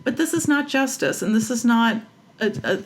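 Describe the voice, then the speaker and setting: A woman's voice: on the high side, expressive, normal speed, a little hiss or hum. An American woman talking casually and emphatically as an interview guest, giving opinions and repeating phrases for stress. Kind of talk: casual, opinionated creative talk.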